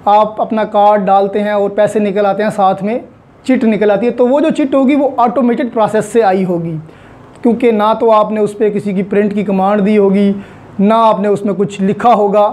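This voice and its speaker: Mellow-voiced Pakistani man lecturing. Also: sits high. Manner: didactic, poses questions and answers them; mixes in English terms and phrases. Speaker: law lecturer. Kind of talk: explanatory lecture with examples.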